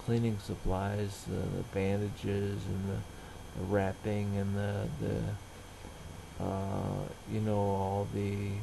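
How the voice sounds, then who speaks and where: A middle-aged man speaks very slowly, in a somewhat low voice with a heavy creak. He rambles and halts as he casually narrates everyday chores, sounding plain-spoken, with faint ambient noise behind him. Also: monotone. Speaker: vlogger.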